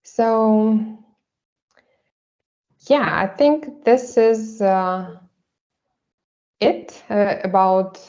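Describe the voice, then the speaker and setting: Woman talking slow n halting, lots of pauses, kinda dull lo-fi sound. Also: mid-range voice, dark tone. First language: Russian. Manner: halting, hesitant, frequent pauses. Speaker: artist presenting her work. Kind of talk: panel presentation.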